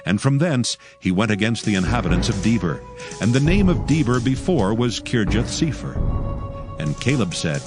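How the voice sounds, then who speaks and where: Low, animated male scripture narrator. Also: moderate pace, music in the background.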